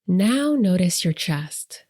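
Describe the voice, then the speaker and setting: A woman leading a guided meditation as host, speaking softly and gently with deliberate pauses, her breathy voice trailing into whispers. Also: lowish voice, sing-song. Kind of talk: soft, soothing guided meditation narration.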